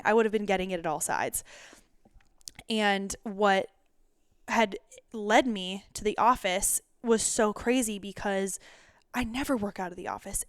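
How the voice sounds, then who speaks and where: Casting: young woman podcast host, rambling and chatty, smooth clear tone, dry close sound. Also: medium pitch, no room sound. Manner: chatty, rambling, self-interrupting. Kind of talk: solo intro then interviewing.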